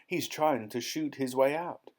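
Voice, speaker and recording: A man's voice: mid-range voice, unhurried, pitched above the performer's own voice, close to an everyday voice. A man voicing a pompous old duke who bellows his lines. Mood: panicked. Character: blustering old male aristocrat duke.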